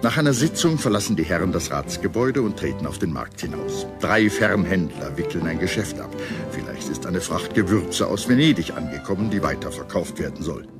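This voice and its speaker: Low-voiced male documentary narrator. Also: animated, a little fast. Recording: music playing behind the voice. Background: background music.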